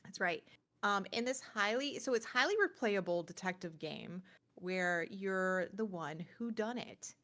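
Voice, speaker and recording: A woman's voice: mid-range voice, unhurried, a bit bright. A woman co-hosting a podcast, talking casually and conversationally as she reviews games. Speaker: podcast co-host. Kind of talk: casual gaming chat and review.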